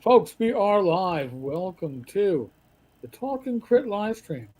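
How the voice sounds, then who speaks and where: A man's voice: high voice, very animated, takes it slowly, darkish tone, breathy edge, low-quality recording. A middle-aged man chatting casually as a live stream host, blunt and colloquial, often repeating his words. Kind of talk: casual chat.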